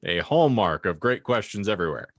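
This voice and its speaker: A man's voice: fairly low pitch, big pitch swings, brisk, dry recording, clean studio sound. A man co-hosting a podcast with casual comedic banter, speaking in florid, theatrical, wordy phrases. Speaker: podcast co-host.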